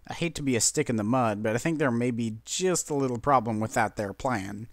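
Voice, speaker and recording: A man's voice: medium pitch, a little fast, dry recording, natural voice. A man voicing a plain-spoken, feisty country mare with folksy, blunt phrasing in a cartoon story. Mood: doubtful. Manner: folksy, blunt drawling idiom.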